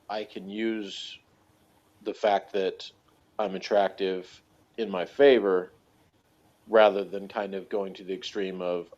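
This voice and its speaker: A man chatting casually as a review co-host, thinking aloud in a halting, rambling way with small chuckles. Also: sits low, a little mellow. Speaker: podcast co-host reviewing a TV series. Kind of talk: casual chat, rambling analysis. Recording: dull, lo-fi audio.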